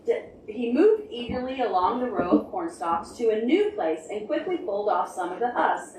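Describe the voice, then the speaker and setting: A woman with a fairly high voice leads a preschool storytime, reading aloud and prompting little children with simple, animated questions. She is heard from across an echoing room, at some distance from the microphone. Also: normal speed, slight background noise. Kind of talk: reading a picture book aloud and leading movement songs for young children. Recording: roomy, distant mic with music behind.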